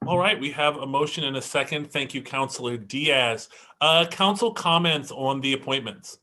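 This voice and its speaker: A man chairing a council meeting as its president, speaking in a brisk, formal procedural way. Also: medium pitch, bright, forceful tone.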